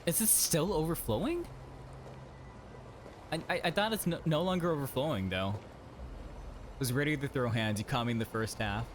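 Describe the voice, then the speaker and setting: A man's voice: fairly high pitch, normal speed, pauses often, slight background noise. A man streaming a game, chatting casually and rambling with lots of fillers and restarts. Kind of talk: casual chat while playing.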